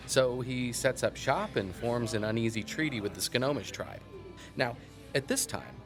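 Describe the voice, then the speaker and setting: A man's voice: medium pitch, lightly acted. Folksy, wry small-town historian played by a man. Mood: absorbed storytelling. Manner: folksy, wry, digressive, with thoughtful asides. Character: chatty small-town male historian who relishes local lore. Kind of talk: conversational storytelling in an audio drama.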